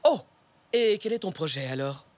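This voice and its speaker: A man playing a cheerful, playful zebra father in a children's cartoon, expressive and full of exclamations. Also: on the high side, normal speed, close to an everyday voice. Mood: cheerful, helpful. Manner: expressive, exclaiming, explains patiently.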